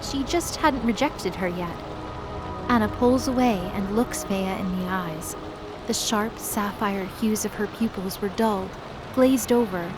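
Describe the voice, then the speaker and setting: A woman giving expressive, scripted dramatic narration as the storyteller of a fantasy audio drama. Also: mid-range voice, unhurried, over music, low-quality recording.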